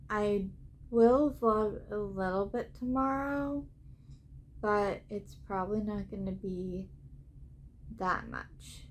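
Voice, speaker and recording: Woman vlogger, mid pitch, unhurried and chatty, congested with a cold, faint hum behind her. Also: low-quality recording. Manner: slow, relaxed, chatty with filler ums. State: has a head cold, scratchy throat. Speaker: travel vlogger and travel agent. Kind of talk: casual vlog to camera. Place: cruise ship cabin.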